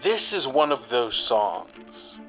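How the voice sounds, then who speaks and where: A man narrates a tabletop adventure as game master. His middle-pitched voice moves slowly and builds suspense in a measured storytelling style, with music clearly playing underneath. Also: big pitch swings, rough recording. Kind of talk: slow dramatic tabletop narration. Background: music bed.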